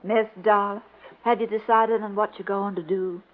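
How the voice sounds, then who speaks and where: A woman's voice: mid-range voice, steady tone, moderate pace, phone-quality sound, a little hiss or hum, close to an everyday voice. An actress playing an earnest, protective female servant, sincere and pleading, in a 1950s radio drama. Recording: band-limited old 1950s radio recording.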